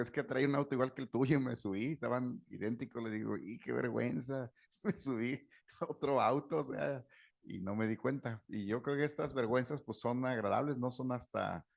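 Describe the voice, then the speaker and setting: A man's voice: medium pitch, gravelly, a little mellow. A middle-aged man, a psychotherapist, giving an informal talk to a group, chatty and anecdotal with frequent fillers and laughs. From Mexico. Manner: conversational, anecdotal, laughs often, many fillers.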